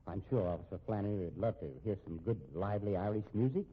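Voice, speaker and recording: Low-voiced, guarded husband. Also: male voice, fast talker, breathy edge. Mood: nervously guarded. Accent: American. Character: respectable, anxious, shrewd husband held hostage at home. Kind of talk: 1950s radio drama acting. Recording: muffled, tinny old radio recording.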